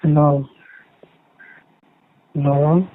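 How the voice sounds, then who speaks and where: A tinny, narrow phone line carries a young man. His voice is a bit higher than most men's, and he talks insistently and pleadingly, as in a private call. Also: little pitch movement, very slow.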